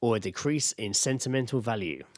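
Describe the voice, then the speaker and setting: A man's voice: mid-range voice, animated, a little fast, no room sound. Man, mid-range voice, informal podcast chat, blokey delivery, dry recording. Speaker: podcast co-host. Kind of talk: casual chat, reading out odd news.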